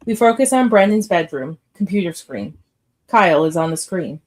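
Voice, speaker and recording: A woman's voice: on the low side, lively intonation, moderate pace. A woman reading stage directions as narrator for a live radio play script read.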